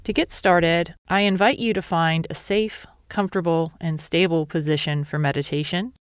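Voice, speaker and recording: A woman meditation teacher leading a guided meditation in a calm, measured, instructional way. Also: on the low side, clean tone, no room sound, studio quality.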